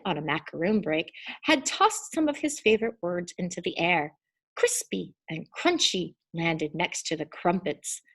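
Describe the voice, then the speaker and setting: A woman reading a children's story aloud in an expressive, deliberate and emphatic storytelling style. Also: lowish voice. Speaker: storybook reader.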